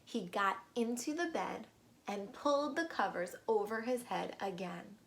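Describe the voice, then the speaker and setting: Female read-aloud storyteller: mid-pitched, unhurried, some fry, expressive child-directed narration with pauses for answers. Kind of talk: storybook narration with questions to young listeners.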